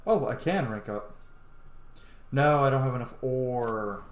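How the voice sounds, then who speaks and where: A man's voice: mid-range voice, measured pace, reverberant. A man giving casual, reactive commentary while playing through a game, chatty and informal. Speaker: gamer, channel host.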